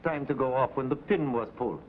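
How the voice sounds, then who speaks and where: A man's voice: mid-range voice, fast, close to an everyday voice. Male actor as smug paid killer, formal precise phrasing, haughty, 1950s television drama, dull audio with faint hiss. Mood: smug confession. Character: smug, calculating male hired assassin, haughty and bargaining. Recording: dull, noisy old TV soundtrack.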